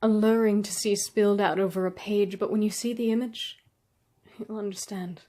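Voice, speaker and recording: A woman performing a slam poetry reading, earnest and dramatic, with deliberate pauses between phrases. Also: medium pitch, fairly even intonation, dark tone. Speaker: slam poet.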